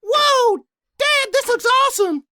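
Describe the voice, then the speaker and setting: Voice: extremely high pitch, animated, measured pace, bright, forceful tone, no echo, clean studio sound, heavily acted. A performer playing a wide-eyed little boy in a corny ad skit, with an exaggerated gee-whiz manner. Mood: impressed. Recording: music bed under the voice.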